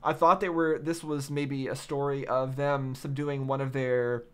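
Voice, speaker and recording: A man's voice: mid-range voice, flat delivery, a little slow, a bit bright, clean tone. A man giving casual, off-the-cuff reaction commentary, musing aloud in a puzzled, questioning way. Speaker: reaction video host.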